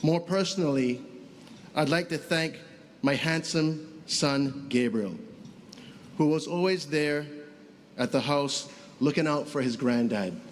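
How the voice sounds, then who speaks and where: A middle-aged man giving a formal funeral speech, deliberate and pausing often. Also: fairly high pitch, slight background noise. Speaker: son giving funeral tribute. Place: funeral service.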